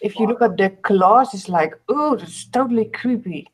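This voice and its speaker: A woman's voice: medium pitch, animated, normal speed, a little hiss or hum. A woman hosting a casual craft live stream over a video call, asking friendly questions.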